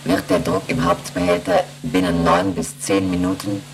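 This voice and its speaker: Very deep, emotionless ship's computer. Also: female voice, normal speed, a loud crowd, poor audio. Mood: impassive, procedural. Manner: clipped, monotone, procedural announcing. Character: emotionless female ship's computer reciting emergency readings. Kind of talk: German radio play acting.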